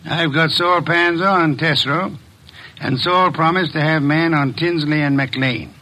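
A man's voice: a little high, steady tone, a little slow, sharp tone, low-quality recording. An actor playing a shrewd, formal male detective who speaks in a precise, commanding way, in 1940s radio style.

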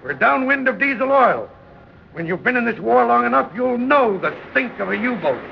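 An actor playing a hard, domineering wartime ship's captain, barking curt, sarcastic orders in an old radio drama. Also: male voice, very high voice, big pitch swings, normal speed, vocal fry, full character voice. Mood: stern, scornful. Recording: muffled, noisy old radio recording.

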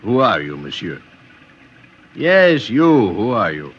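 A man's voice: on the low side, big pitch swings, slow, breathy edge, exaggerated voice. A man playing a suspicious, sardonic policeman who questions people with mocking insistence in a radio drama. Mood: sardonic, probing. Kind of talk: old-time radio drama acting. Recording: muffled, noisy vintage radio recording.

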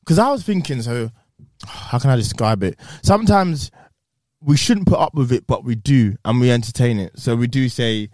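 A man's voice: medium pitch, lively intonation, normal speed, darkish tone, dry recording. A man chatting bluntly on a podcast, swearing casually and speaking frankly. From England. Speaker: podcast co-host. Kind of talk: casual chat.

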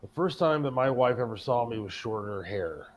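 A man's voice: mid-range voice, animated, normal speed. A middle-aged man hosting a talk show, chatting and bantering informally with a salty tongue. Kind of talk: casual chat and banter.

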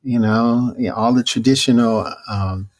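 A man, a preacher and author, answering interview questions in a reflective, unhurried way with many fillers and restarts; his voice is neither booming nor deep. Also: steady tone.

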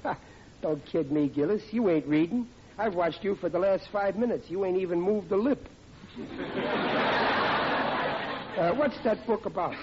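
Through a hissy, muffled old broadcast comes a blustering, thick-headed American family man. His voice sits high for a man, cheerfully dim in classic radio sitcom fashion. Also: measured pace, pauses often, a loud crowd. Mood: teasing. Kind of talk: 1940s radio comedy acting.

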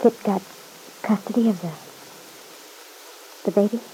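A woman's voice: mid-range voice, measured pace, warm tone, slight background noise, natural voice, poor audio. An actress playing an anxious, guilt-ridden young mother in an old-time radio soap opera, hesitant, plaintive and defensive. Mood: bewildered.

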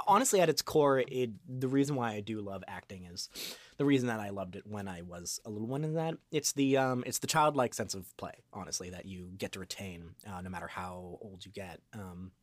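A man's voice: on the low side, fairly quick, no room sound. A young man speaking as a guest voice actor in a casual interview, with a hesitant, rambling delivery.

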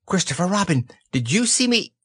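High squeaky little kangaroo boy. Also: big pitch swings, takes it slowly, a bit bright, dry recording, studio quality, exaggerated voice. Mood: proud, excited. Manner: eager, childlike, boastful. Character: excitable, proud, squeaky little male baby kangaroo.

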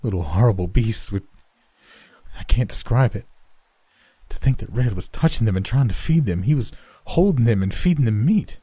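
A man's voice: mid-range voice, expressive, normal speed, warm tone, slightly rough, no room sound. A man voicing a frightened woman who insists that strange creatures are in the barn. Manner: urgent, insistent. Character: shaken, frightened farm mother.

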